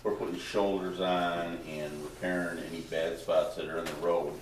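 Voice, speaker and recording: A man's voice: sits low, little pitch movement, moderate pace. A man giving a plain-spoken, matter-of-fact report at a town board meeting. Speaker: highway superintendent giving a report. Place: town hall meeting room. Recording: echoey room from far off, noisy.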